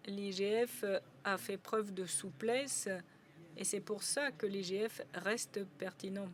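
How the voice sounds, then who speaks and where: A woman doing simultaneous interpretation at a conference, a steady relay full of brief hesitations. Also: mid-range voice.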